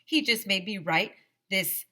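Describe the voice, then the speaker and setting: A woman reading a children's story aloud as a teacher, expressive and pausing for asides to her listeners. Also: mid-range voice. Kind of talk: animated children's read-aloud.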